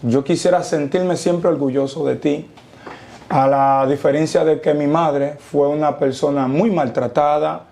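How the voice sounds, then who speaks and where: A young Dominican man who speaks with a preacher's cadence, slowing down to stress each idea. His voice sits slightly high for a man but sounds dark and mellow, with a gravelly fry at the ends of phrases. He quotes Bible verses at a deliberate pace. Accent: Dominican Spanish. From Dominican Republic. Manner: preacherly, quotes scripture, slow emphatic pauses. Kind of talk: testimony interview.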